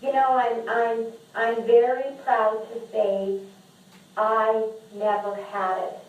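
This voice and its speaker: A woman talks openly as a podcast guest. She sounds earnest and often restarts her sentences with repeated words. Her voice sits a little high and comes from away from the mic, in a strongly echoing room. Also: level tone, slow pace. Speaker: podcast guest and memoir author. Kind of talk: candid conversational interview. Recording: echoey room, distant mic.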